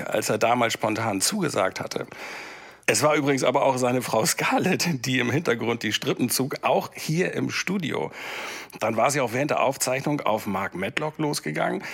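A man acting out a chatty male TV host in a comedy mock documentary. His voice is gravelly and rough, and he talks conversationally, probing and padding with fillers. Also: medium pitch, fairly bright tone, heavily acted. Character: chatty male TV show host and football fan.

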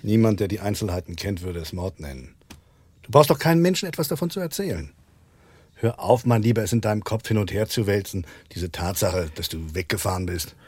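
An actor playing a level-headed, reassuring friend in a German radio crime drama, probing and matter-of-fact. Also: male voice, fairly low pitch, brisk, darkish tone, slightly rough, no echo.

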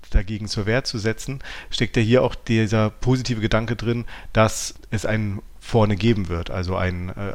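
A man with a fairly low-pitched voice co-hosts a talk podcast. He speaks in a reflective, analytical way, thinking aloud and often correcting himself mid-sentence. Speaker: podcast co-host. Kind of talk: reflective philosophical discussion.

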